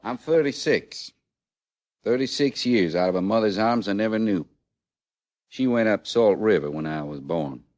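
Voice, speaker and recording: A man performs a doomed outlaw of the American West for a radio drama. He speaks low in a dry, unechoing space, with a rustic Western drawl. His delivery is halting, folksy and full of plain regret. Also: lively intonation, darkish tone, dry recording, muffled recording, close to an everyday voice. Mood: rueful, matter-of-fact. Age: 30s. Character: condemned thirty-six-year-old frontier outlaw, rueful and plainspoken. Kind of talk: mid-century American radio western acting.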